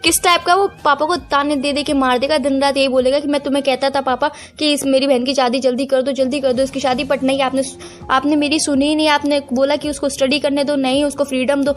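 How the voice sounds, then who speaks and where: A woman's voice: high voice, flat delivery, a little fast, natural voice. A young woman playing an earnest, firm girlfriend who is pained and torn, in an audio call drama. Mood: insistent, exasperated. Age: young adult. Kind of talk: acted phone-call drama in conversational Hindi with some English.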